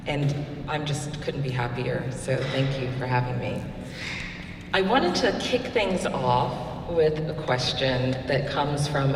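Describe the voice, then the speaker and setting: A woman with a very deep voice moderates a public talk on stage. She is heard at a distance in a reverberant hall, with clear background noise. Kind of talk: onstage public interview. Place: event hall in front of an audience. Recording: echoey hall, distant mic, noisy.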